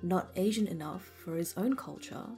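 A woman with an Australian accent reading scripted documentary narration in a measured storytelling manner as the host. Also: fairly low pitch, warm tone, faint music behind.

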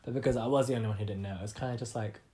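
A man's voice: fairly low pitch, steady tone, rapid-fire, background noise. A young man with an Australian accent talking informally as an interviewee, self-deprecating and often acting out bits of dialogue. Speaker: interviewee, artist. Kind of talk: casual conversational interview.

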